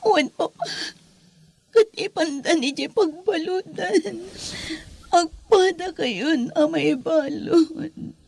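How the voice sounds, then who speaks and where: A woman's voice: very high voice, takes it slowly, creaky, darkish tone, heavily acted. A woman playing an anguished, reproachful grown daughter in a melodramatic audio drama, emotional and pleading. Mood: tearful, reproachful.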